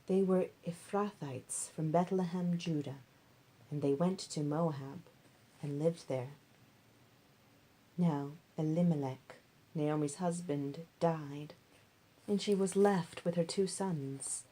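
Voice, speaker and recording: A woman reading a Bible story aloud as scripted narration, in measured, deliberate phrases. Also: deep voice, mellow. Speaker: reader.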